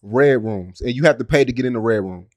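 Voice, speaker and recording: Male interviewer, medium pitch, informal and slangy, putting questions to a guest. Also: fast, darkish tone, muffled recording.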